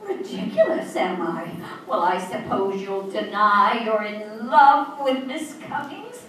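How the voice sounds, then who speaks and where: A woman's voice: mid-range voice, big pitch swings, takes it slowly. Echoey, jealous radio-drama wife, played by a woman. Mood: accusing. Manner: stern, theatrical, accusing. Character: jealous, commanding bedridden wife of a judge. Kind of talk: old-time radio drama acting. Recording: echoey, distant, noisy stage recording.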